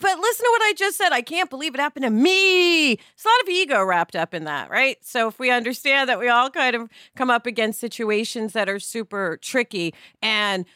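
Woman, middle-aged, bright forceful voice, higher pitch, emphatic conversational delivery, close dry sound. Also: lively intonation, a little fast, hardly any pauses, no room sound. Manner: emphatic, asks rhetorical check-in questions. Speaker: podcast host. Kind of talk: conversational podcast discussion.

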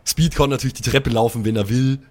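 A man's voice: mid-range voice, fast. A man improvising as a player in a tabletop role-playing game, chatting casually in colloquial slang.

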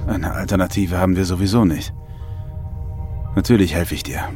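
A man's voice: deep voice, moderate pace, warm tone, faint music behind, natural voice. A man playing an exasperated but caring ship's doctor on a Mars mission in an audio drama. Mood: resigned.

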